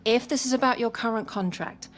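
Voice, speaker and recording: An actress playing a calm, businesslike football club manager in a video game cutscene. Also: female voice, a little high, brisk, a little hiss or hum, natural voice.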